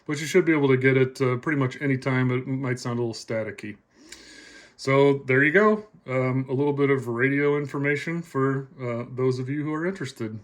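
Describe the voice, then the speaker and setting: A man's voice: medium pitch, brisk. A man giving a casual, plainspoken explanation to viewers, like a hobbyist presenting a demonstration.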